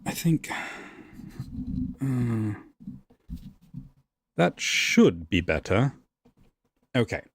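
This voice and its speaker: Male voice actor between takes: casual, self-amused, sweary, husky tone with lively pitch swings, close mic. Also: extremely slow, long pauses, dry recording. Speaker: amateur voice actor recording audio roleplay.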